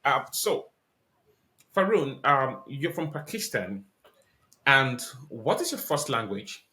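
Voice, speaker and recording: A man's voice: medium pitch, big pitch swings, takes it slowly, bright, forceful tone. A man hosting a podcast interview, asking questions politely with many ums and restarts. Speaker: podcast host.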